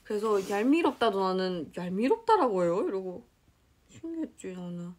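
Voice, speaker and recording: A young woman from Seoul telling a story in casual live-stream chat, animated and giggly, acting out quotes, recorded on a phone in a small room. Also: mid-range voice, normal speed. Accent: Seoul Korean. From Seoul, South Korea. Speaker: idol live-stream host. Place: small indoor room, plain wall. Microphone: phone camera at arm's length.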